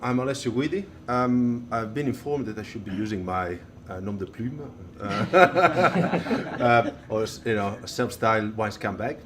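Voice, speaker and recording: Man, wine rep guest, low voice, rambling and self-deprecating. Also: lively intonation, a little slow, noisy background. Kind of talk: casual podcast introduction.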